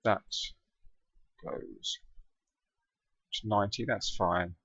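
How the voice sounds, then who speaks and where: A man's voice: fairly low pitch, fairly even intonation, extremely slow, slightly rough, no room sound, lo-fi sound. A man giving casual gameplay commentary as a let's play host, thinking aloud. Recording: loud game music behind voice. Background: game music and effects.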